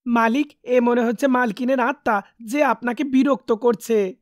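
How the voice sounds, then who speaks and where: A woman's voice: a little high, a little slow, no echo, studio quality, close to an everyday voice. A woman voicing a loyal, anxious household servant who speaks humbly to the master. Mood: deferential. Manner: humble and deferential.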